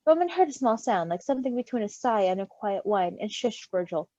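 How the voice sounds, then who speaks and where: A woman reading a story in an animated way, with giggly, casual asides. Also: mid-range voice, brisk, no room sound. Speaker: story reader. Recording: slightly dull, close dry recording.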